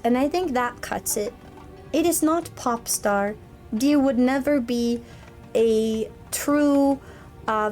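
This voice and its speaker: Woman in her thirties, veteran streamer, unhurried chatty storyteller with a higher voice. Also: a little mellow. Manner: chatty and rambling, laughs often, with frequent fillers. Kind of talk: casual livestream chat and storytelling.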